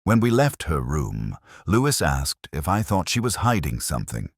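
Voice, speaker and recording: A man acts as a brooding male detective telling his own mystery story in a very low, solemn voice. The delivery is measured and reflective, with lively rises and falls in pitch. The sound is dry and close, with no room around it. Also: no echo, full character voice. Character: brooding, introspective male police detective, first-person narrator. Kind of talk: literary scripted mystery narration.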